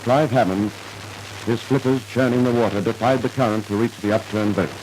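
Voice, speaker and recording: A man's voice: medium pitch, steady tone, fairly quick, noisy background. A man narrating a scripted 1950s radio drama in a formal, dramatic storytelling manner, on an old radio recording.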